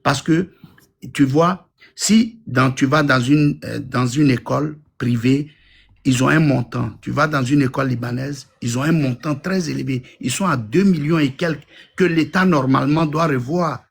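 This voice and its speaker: A man in his fifties from Côte d'Ivoire calls into a live discussion and talks bluntly, circling back to his points with insistence. His voice has a gravelly, husky grain. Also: medium pitch, moderate pace. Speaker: caller. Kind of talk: live audio room call-in.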